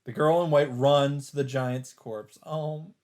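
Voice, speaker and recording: A man doing a let's play, reading a visual novel aloud as dramatic narration in halting phrases, with some off-the-cuff reactions. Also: on the high side, steady tone, a little mellow. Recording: music behind the voice. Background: game soundtrack music.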